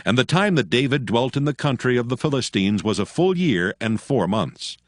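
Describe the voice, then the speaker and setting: A man's voice: mid-range voice, animated, normal speed, no room sound, studio quality. A man narrating scripture from a script in a solemn, formal storytelling manner.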